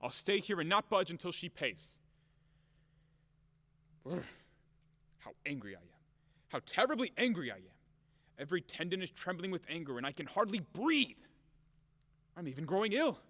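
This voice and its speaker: Casting: man acting blustery, exasperated landowner for stage farce, highish voice leaping around, reverberant hall sound. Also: sing-song, moderate pace, long pauses, pushed and bright, full character voice. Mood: stubborn, fuming. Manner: theatrical, exasperated ranting and grumbling. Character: blustering, furious, self-pitying male landowner demanding his money. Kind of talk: stage comedy acting in an audio play.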